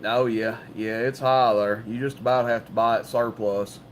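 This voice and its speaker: A man's voice: medium pitch, fairly even intonation, normal speed. A man explaining technical things casually and carefully on a CB radio chat. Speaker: CB radio operator. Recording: CB radio chat with faint noise.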